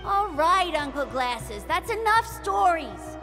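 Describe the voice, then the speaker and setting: A woman's voice: extremely high pitch, sing-song, slow, long reverb tail, over music, heavily acted. A woman voicing a bossy, earnest young child full of hero worship in a video game, with an assertive manner. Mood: impatient.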